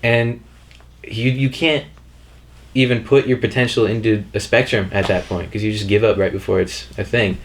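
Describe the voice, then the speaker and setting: A man's voice: lowish voice, steady tone, normal speed, slight background noise. A young man hosting a podcast, chatting casually and enthusiastically, often saying "dude" and "bro". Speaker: podcast host. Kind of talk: casual chat.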